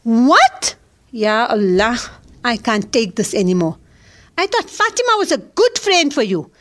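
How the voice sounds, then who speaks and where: A scolding, exasperated mother played by a woman in a radio drama. Her voice is high and swings widely in pitch as she lectures. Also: sing-song, unhurried, fairly bright tone. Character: stern scolding middle-aged mother. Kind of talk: audio drama acting.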